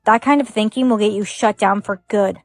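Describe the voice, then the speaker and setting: A woman's voice: mid-range voice, fairly quick, a little mellow, no echo, clean studio sound, natural voice. A woman playing a cautious young human explaining things matter-of-factly in a short skit. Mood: cautioning. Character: cautious young human Jake who befriends a robot. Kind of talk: short comedic skit acting.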